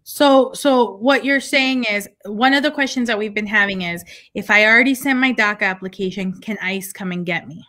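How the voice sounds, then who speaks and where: A woman interviewer from an advocacy group, asking questions quickly with many fillers. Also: medium pitch, sharp tone.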